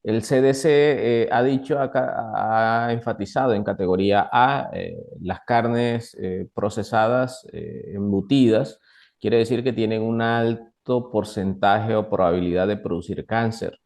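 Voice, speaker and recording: A young man with a Colombian Caribbean accent, explaining things as an expert guest in an interview, in a halting way with repeated words. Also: on the low side, fairly even intonation, a little mellow. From northern Colombia. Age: young adult. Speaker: guest doctor, nutrition expert. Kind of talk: interview, explaining to a lay audience.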